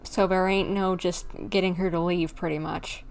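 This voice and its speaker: A woman's voice: fairly low pitch, flat delivery, normal speed, gravelly, rough recording. A young adult woman giving casual, playful, rambling commentary as she livestreams a video game. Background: faint game music.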